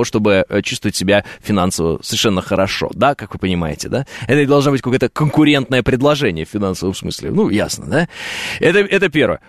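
A man's voice: mid-range voice, no echo, studio quality. A man reading his live chat aloud and answering it, wry and joking, with many hesitant fillers. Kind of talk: casual live stream chat. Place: at home, on camera.

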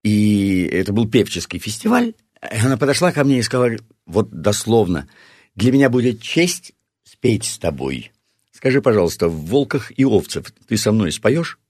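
A male actor and singer from Omsk, singing slowly to a guitar, then telling stories quickly on a talk show. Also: fairly low pitch, big pitch swings, slightly rough, no room sound, clean studio sound. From Omsk, Russia. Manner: sings slowly and warmly, then tells anecdotes quickly with repeats.

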